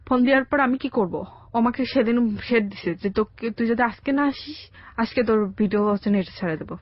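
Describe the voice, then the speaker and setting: A young woman with a Bangladeshi accent giving a halting, colloquial personal account as a radio interview guest. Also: on the high side, no echo, lo-fi sound. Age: young adult. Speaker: interview guest telling her life story. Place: radio studio.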